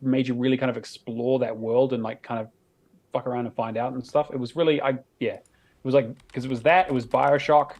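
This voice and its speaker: A man's voice: mid-range voice, darkish tone. Casual, sweary male podcast co-host. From Sweden. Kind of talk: casual chat about video games.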